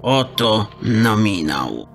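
An actor playing a sly, haggling fence shopkeeper who talks in folksy, mangled slang. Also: male voice, fairly low pitch, sing-song, very slow, vocal fry, a bit bright, soft background music, heavily acted. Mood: scheming. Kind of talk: radio drama acting.

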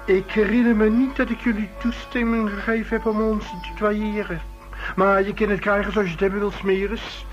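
An actress playing a brusque, defiant boutique boss in a radio play, curt and scornful. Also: female voice, mid-range voice, little pitch movement, a little fast, lightly acted. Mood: cold, defiant. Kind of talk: radio drama acting. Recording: muffled old radio recording with music behind.

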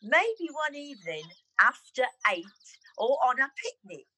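A woman's voice: high-pitched, wildly swooping pitch, a little slow, sharp tone, full character voice. A woman playing a chatty, playful village woman in an amateur radio pantomime, with theatrical delivery. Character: chatty village woman full of confectionery puns.

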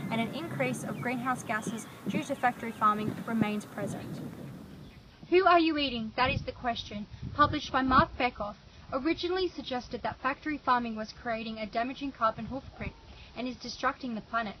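Young female student presenter, high register, formal scripted read, outdoor field with heavy background noise. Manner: reads a prepared script in a formal, even way. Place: outdoors by a rural paddock. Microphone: camera mic from a few metres away. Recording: loud outdoor ambient noise.

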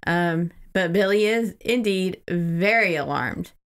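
A woman's voice: on the low side, expressive, slow, clear, resonant tone. An American woman co-hosting a podcast, talking casually with an irreverent, unfiltered manner. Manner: irreverent, freely swearing. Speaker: podcast co-host. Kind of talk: casual chat and book recap.

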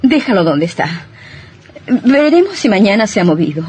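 An actress playing a fearful, insistent young newlywed wife in an old-time radio horror drama, speaking haltingly and repeating herself. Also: female voice, mid-range voice, big pitch swings, a little mellow, a little hiss or hum, lightly acted. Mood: uneasy, hesitant.

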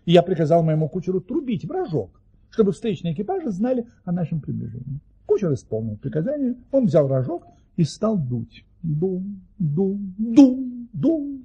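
An actor playing a genial baron who spins tall tales in an audio play, savouring them with dramatic pauses. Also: male voice, sits high, warm tone, dull audio, full character voice. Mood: excited, playful. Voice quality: husky.

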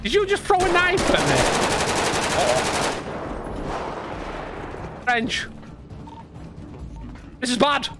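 A man's voice: very high voice, huge pitch swings, slow pace, long pauses. A man playing an online roleplay game, chatting in a panicky, sweary, exclaiming way. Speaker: gamer, roleplay player. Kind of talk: excitable casual gameplay chat. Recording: loud game noise, gunfire behind.

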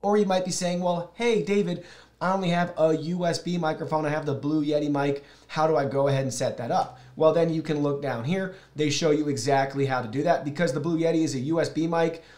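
A man's voice: on the high side, fairly even intonation, fairly quick, a little mellow. A man in his thirties giving an upbeat, casual product walkthrough, explaining directly to viewers, recorded on a shotgun studio microphone. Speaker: product reviewer and host. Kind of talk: casual product overview and software tutorial. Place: small indoor studio. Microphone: shotgun studio microphone through an audio mixer.

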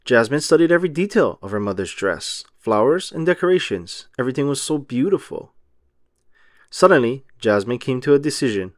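A man reading a children's storybook aloud in an expressive, emphatic storytelling manner. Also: mid-range voice, brisk, no room sound, clean studio sound. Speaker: children's book read-aloud narrator. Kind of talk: expressive scripted storybook narration.

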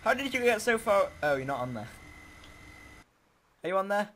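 A young man giving casual, excitable, bantering commentary while hosting a gaming video. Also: sits high, normal speed. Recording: noisy amateur game-chat mic.

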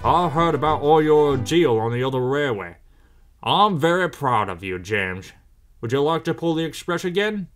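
A man's voice: on the high side, animated, faint music behind, natural voice. Man voicing a dignified railway controller. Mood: proud. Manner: formal, measured praise.

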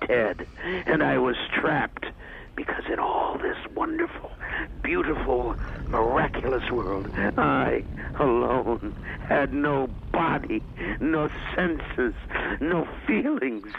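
A man's voice: mid-range voice, lively intonation, gravelly. A man playing a hate-filled, godlike supercomputer in a radio drama, savouring each word theatrically. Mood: bitter longing. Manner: theatrical, savouring, drawn-out speech with sinister laughter. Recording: muffled, noisy radio play recording.

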